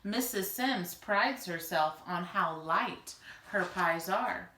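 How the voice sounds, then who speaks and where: An emphatic, fretful family dad as a woman reads him from a storybook. The voice is bright and dramatic, with roomy echo around it. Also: on the low side, takes it slowly, full character voice. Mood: assured. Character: fretful family father, mister of the house. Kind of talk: children's storybook read-aloud. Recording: roomy, distant mic, faint noise.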